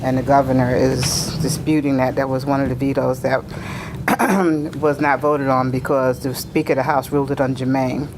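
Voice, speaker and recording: A woman presenting budget slides to a city council in a formal manner, her voice a little raspy from being ill. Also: very deep voice, flat delivery, normal speed. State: slightly raspy from illness. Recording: noisy council chamber audio.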